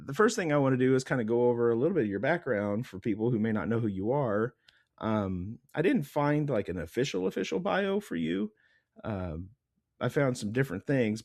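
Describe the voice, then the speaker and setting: A man's voice: fairly low pitch, a little mellow, no room sound, studio quality. A man hosting a casual interview podcast in an informal way, his voice stuffy and congested. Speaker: podcast host.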